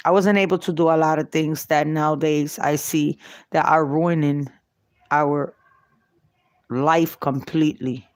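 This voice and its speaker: Middle-aged woman, New York Puerto Rican accent. Unscripted personal storyteller, unhurried and halting, recorded dry. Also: sits low, fairly even intonation, no room sound. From Puerto Rico and New York. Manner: halting, with long pauses between short phrases. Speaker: vlogger telling her life story.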